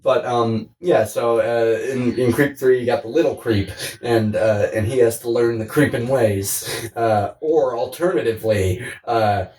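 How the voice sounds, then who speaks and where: A man's voice: medium pitch, unhurried, hardly any pauses, a little mellow, a little hiss or hum. A man co-hosting a podcast, chatting casually in a joking, crude, sweary, bantering way. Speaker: podcast co-host. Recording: roomy echo, distant from mic.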